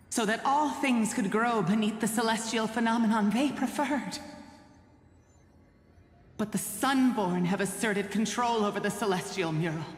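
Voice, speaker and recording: An actress playing a solemn, defiant priestess who speaks for an oppressed people. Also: female voice, mid-range voice, close to an everyday voice. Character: defiant solemn woman rain-folk priestess. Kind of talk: video game dub acting. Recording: echoey hall with soft music.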